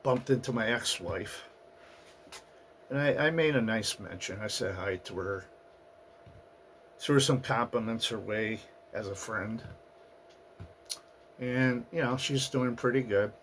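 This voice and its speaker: A man hosting his own channel, talking through his past year in a halting, personal monologue, his voice raspy and hoarse from a recent flu. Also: mid-range voice, a bit bright, a little hiss or hum. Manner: halting, with fillers and restarts. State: getting over a flu, hoarse. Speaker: channel host. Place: at home in the US Northeast.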